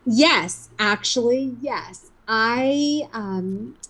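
A woman's voice: fairly high pitch, animated, drawn-out words, a bit bright, poor audio. A woman in her fifties, an interview guest recalling her youth in a casual, rambling way full of "you know" and "um". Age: 50s. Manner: rambling, many fillers and restarts.

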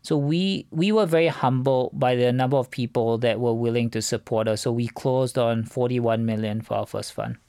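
A man giving measured, explanatory answers as an interview guest, speaking as a venture fund co-founder. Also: medium pitch, dark tone, clean tone, dry recording, studio quality.

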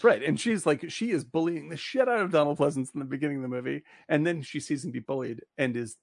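A man casually discussing a film with a friend, talking in a rambling, animated way. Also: a little high, quick pace, vocal fry, no room sound, clean studio sound.

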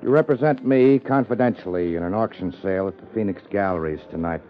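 An American actor playing a guarded, tough client in a 1950s radio drama, speaking tersely and bluntly. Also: male voice, fairly low pitch, fast, slight background noise, lightly acted. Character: guarded, tough male client with shady business. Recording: muffled 1950s radio recording.

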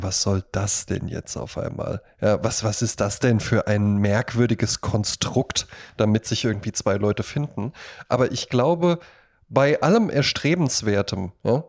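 Ein Mann mit deutschem Akzent plaudert als Podcast-Co-Moderator, nachdenklich und etwas abschweifend. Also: male voice, on the low side, darkish tone. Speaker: podcast co-host. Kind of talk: casual podcast chat.

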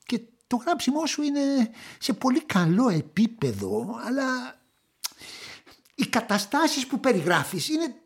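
A patronizing writing tutor. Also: female voice, fairly high pitch, big pitch swings. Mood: lecturing. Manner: patronizing, lecturing. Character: condescending woman writing tutor.

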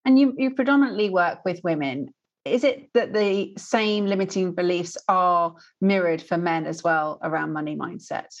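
A woman's voice: lowish voice, moderate pace. A woman interviewing a guest, asking brief, curious questions. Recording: dull lo-fi audio.

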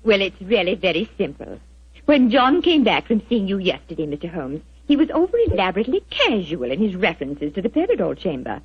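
An actress playing a gentle, quick-witted wife, modest and polite, in a 1940s radio drama. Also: female voice, mid-range voice, sing-song, breathy edge. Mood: matter-of-fact. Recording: dull 1940s radio recording.

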